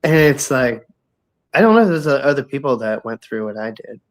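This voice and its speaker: Relaxed man with a cough on a call. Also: medium pitch, fairly quick. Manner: relaxed, supportive, laughs easily. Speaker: guest. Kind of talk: casual video call chat. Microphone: video call.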